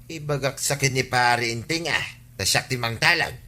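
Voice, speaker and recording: Very sharp, bright Ilocano village man. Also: medium pitch, moderate pace. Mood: gossipy. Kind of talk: comedy radio drama acting.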